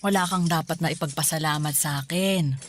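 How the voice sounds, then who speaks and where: A woman's voice: low-pitched, brisk, natural voice. A woman acting as a devoted, reassuring middle-aged mother in a radio drama. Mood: affectionate, insisting. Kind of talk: Tagalog radio drama dialogue. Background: background music.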